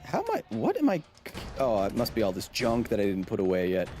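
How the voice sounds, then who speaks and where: A man doing live gameplay commentary, goofy and excitable, who shouts at the game. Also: lowish voice, a little fast, soft background music. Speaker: streamer.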